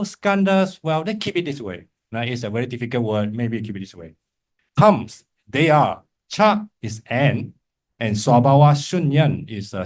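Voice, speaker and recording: A man teaching a class as a Buddhist monk, informal and encouraging, often checking in with short tag questions. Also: mid-range voice. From Malaysia. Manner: encouraging, informal, frequent check-in tags like "right?" and "okay?". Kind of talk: interactive lecture to a class with remote listeners.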